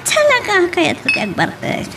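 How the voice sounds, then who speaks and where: A woman's voice: extremely high pitch, huge pitch swings, moderate pace, heavy background noise, full character voice. A woman acting an emotional drunken woman in an Ilocano radio drama. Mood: tipsy, teasing.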